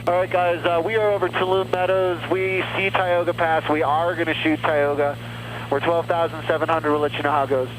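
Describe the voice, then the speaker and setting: A man with a somewhat high voice coaches like a flight instructor and makes radio calls. He speaks into an aviation headset, with clear engine noise from the small aircraft cockpit around him. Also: little pitch movement, brisk, fairly bright tone, rough recording. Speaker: mountain flying instructor.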